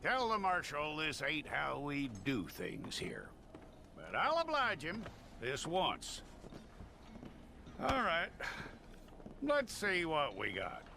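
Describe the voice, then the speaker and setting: Over clear background noise, a grudging Old West lawman. His voice is high for a man and gravelly. He drags out a slow drawl, as in a western game. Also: wildly swooping pitch, heavily acted. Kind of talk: scripted western game acting.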